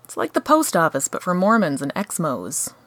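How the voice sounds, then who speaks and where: Woman playing exasperated scheming host, sarcastic, radio comedy, fairly low pitch, dry recording. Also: wildly swooping pitch, moderate pace, a bit bright, dry recording, full character voice. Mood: wry. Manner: sarcastic, grumbling. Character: sardonic, exasperated female scheming otherworldly show host. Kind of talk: comedic radio play acting.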